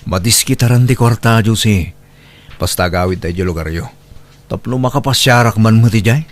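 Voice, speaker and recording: A man's voice: fairly low pitch, moderate pace, natural voice. Man acting a hesitant, pleading young Ilocano husband. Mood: earnest. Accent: Ilocano Filipino. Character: hard-working, apologetic young husband and father. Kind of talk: dramatic radio-style drama acting in Ilocano.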